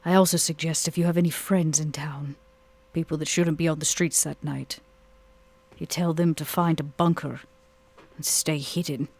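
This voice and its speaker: A player voicing a scheming, composed vampire in a tabletop roleplay, speaking in a measured, articulate and wry way. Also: medium pitch, steady tone, a little mellow, natural voice. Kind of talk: actual play roleplay dialogue.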